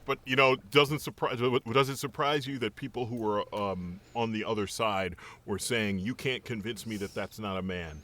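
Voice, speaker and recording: A man's voice: lowish voice, normal speed, few pauses, fairly bright tone. A man chatting casually as a cast member of a comedy show, in loose group banter, with some background noise.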